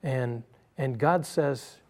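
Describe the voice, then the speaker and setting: A man speaking as a guest in a religious discussion, deliberate and emphatic, repeating his key phrases. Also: medium pitch, a little mellow. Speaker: panel guest.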